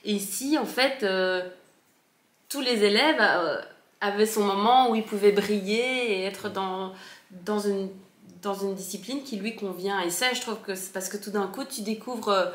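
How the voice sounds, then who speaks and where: A woman fondly recalling her school years in a relaxed chat, often pausing and restarting her sentences. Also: medium pitch. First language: French. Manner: hesitant, many fillers and restarts. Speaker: former Steiner school pupil. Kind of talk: casual recollection. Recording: echoey room.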